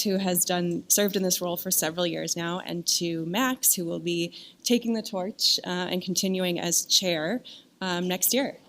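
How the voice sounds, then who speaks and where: A woman presenting at a conference, introducing the next speakers in a measured way with a few fillers. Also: on the low side, a bit bright. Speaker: research director, event presenter. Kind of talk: scripted stage introduction.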